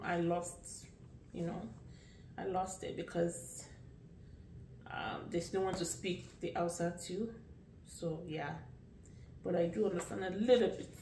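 A woman in her late thirties with a Nigerian accent talks slowly through a casual vlog, her voice sitting a little low. She rambles and restarts, relaxed and chatty. The sound is roomy and echoey, with the mic some distance away. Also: slight background noise. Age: late 30s. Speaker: vlogger. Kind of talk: casual get-to-know-me vlog.

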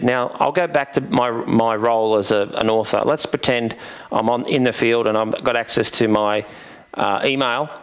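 A man, giving an informal software tutorial into a headset microphone. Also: mid-range voice, smooth. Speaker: presenter, software vendor demonstrating a product. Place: indoors, presenting at a computer.

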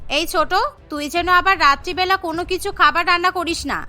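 A woman plays a spiteful, snobbish young daughter-in-law in a cartoon family drama. Her voice is very high and piercingly bright, full of scolding and sneering boasts. Music plays clearly behind her. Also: fairly even intonation, brisk, full character voice. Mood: boastful.

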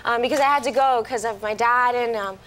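A woman's voice: a little high, fairly bright tone. A young woman from Miami who grew up partly in Venezuela, chatting as a guest on a late-night talk show, bubbly and laughing easily, recorded on a lapel mic in a TV studio. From Miami, with years in Venezuela. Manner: bubbly, laughing, quick bursts of Spanish. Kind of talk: casual chat interview.

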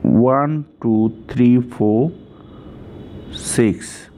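Male tutor, mid-pitched, unhurried and repetitive, informal teaching style, slightly noisy home-made recording. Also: warm tone. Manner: repetitive, often checking with "right?". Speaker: chemistry tutor.

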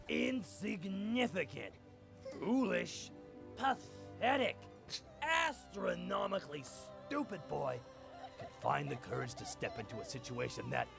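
A man playing a gruff, aging superhero mentor in a comedic anime parody, swinging between grand theatrical speech and blunt exasperated asides. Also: on the high side.

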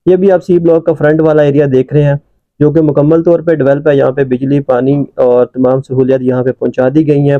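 Fast-talking man on a car tour. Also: no echo, narrow, tinny audio. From Pakistan. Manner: brisk salesman's pitch with frequent "uh" fillers. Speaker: real estate agent. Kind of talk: drive-through property tour narration. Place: inside a moving car.

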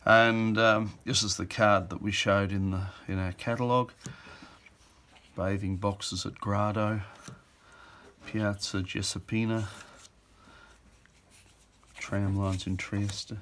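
A middle-aged man giving an informal walkthrough of auction items in an offhand, halting way, as if thinking aloud. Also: on the low side, flat delivery, fairly bright tone, a little hiss or hum. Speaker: auction house presenter. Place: indoors at a table.

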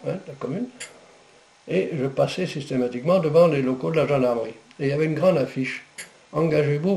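An older man, a native Breton speaker, recounting his memories with halting pauses in a living room. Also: mid-range voice, creaky, a little mellow, reverberant. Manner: halting, many pauses and fillers. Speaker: veteran interviewee.

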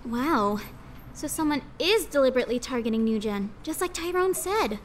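Young female rookie cop, very high girlish voice, jittery, eager, faint background ambience, audio drama. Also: moderate pace, slight background noise. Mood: pouty, chatty. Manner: girlish, excitable, questioning.

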